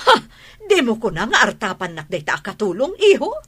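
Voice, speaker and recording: Haughty, scolding mother, sharp and high. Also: wildly swooping pitch, fairly quick, slightly rough, heavily acted. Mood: irritated, scolding. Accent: Filipino (Ilocano). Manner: scolding, imperious. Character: haughty, domineering mother and mistress of the house. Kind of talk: Ilocano radio drama acting.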